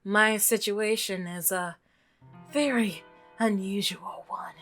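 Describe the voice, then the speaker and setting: Woman voicing an unsettling, soft-spoken obsessive milkman. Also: medium pitch, fairly even intonation, slow pace, faint music behind, voice pushed down. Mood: creepy, coaxing.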